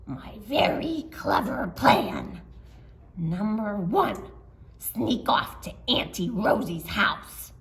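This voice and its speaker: A woman's voice: medium pitch, sing-song, a bit bright, full character voice. A woman voicing a naughty, hungry male lion, scheming and pleased with himself, in an emphatic storybook style. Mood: scheming, smug. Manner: theatrical, emphatic, deliberate. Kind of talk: animated storybook read-aloud for children.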